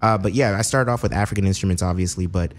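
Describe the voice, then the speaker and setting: A man from Seattle, a musician guest in a radio studio, chatting in a relaxed way, full of "you know". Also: low voice, fast talker. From Seattle, Washington. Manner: easygoing, lots of fillers like "you know". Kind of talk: relaxed interview conversation.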